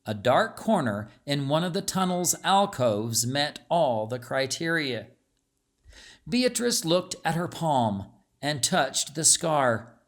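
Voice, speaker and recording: A man's voice: a little high, animated, slow pace, fairly bright tone. A man reading a story aloud as a scripted audiobook narration.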